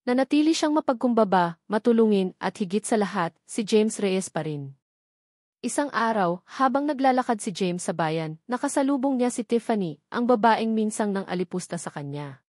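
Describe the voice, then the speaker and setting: A woman's voice: mid-range voice, animated, moderate pace, a little mellow, no echo, clean studio sound. A woman reading scripted, dramatic story narration.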